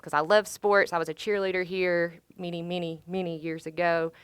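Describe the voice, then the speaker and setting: Upbeat woman, smooth low voice. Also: little pitch movement, moderate pace, no room sound, studio quality. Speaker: alumni panelist, sports broadcaster. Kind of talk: panel talk.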